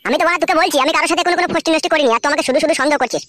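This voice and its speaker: Quarrelsome village husband played by a man: high for a male, rapid, dismissive. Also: very noisy. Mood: defensive. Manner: dismissive, threatening. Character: gruff, defensive, foul-mouthed village husband. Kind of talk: comedy cartoon dialogue.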